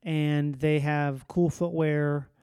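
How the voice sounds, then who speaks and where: A man's voice: fairly high pitch, flat delivery, slow, warm tone, smooth, no echo, studio quality. A man in casual conversation, easygoing, slangy and playful. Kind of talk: casual chat.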